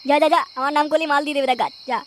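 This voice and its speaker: An actor voicing a bossy, foul-mouthed male drunkard in a crude cartoon, with a pitched-up voice. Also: fairly even intonation, racing, fairly bright tone, a little hiss or hum. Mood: bossy, boisterous. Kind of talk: crude comic cartoon dialogue.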